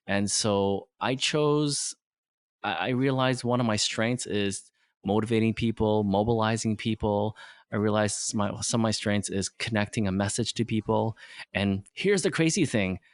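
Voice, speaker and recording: A man's voice: on the low side, a little slow, no room sound. A man in his forties whose first language is Vietnamese, a podcast guest explaining ideas earnestly in simple phrases. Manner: earnest, simple phrasing, frequent "right?" tags. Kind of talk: motivational interview talk.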